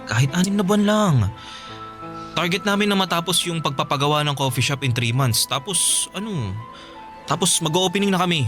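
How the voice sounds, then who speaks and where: Man acting as high-voiced, defensive young boyfriend. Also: a little fast, pauses often, fairly bright tone, soft background music, lightly acted. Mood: pleading. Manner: hesitant, defensive. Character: defensive young boyfriend pleading for his business venture. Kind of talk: Filipino radio drama acting.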